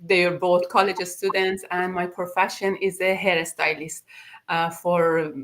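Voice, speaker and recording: A woman in her forties from Iran, introducing herself on a live online panel in a polite, measured way. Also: lowish voice, level tone, a little hiss or hum.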